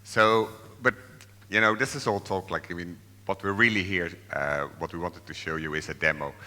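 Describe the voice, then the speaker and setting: A man's voice: low-pitched, fairly even intonation, normal speed, bright, forceful tone, big-room sound. A man from the Netherlands, giving a hesitant conference tech presentation full of fillers and restarts. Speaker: software developer presenting. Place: large conference hall.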